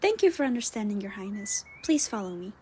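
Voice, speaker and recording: A woman's voice: medium pitch, big pitch swings, brisk, mellow, a little hiss or hum, close to an everyday voice. A woman playing a polite, formal palace servant in a scripted fantasy audio roleplay. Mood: deferential, firm. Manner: formal, courteous.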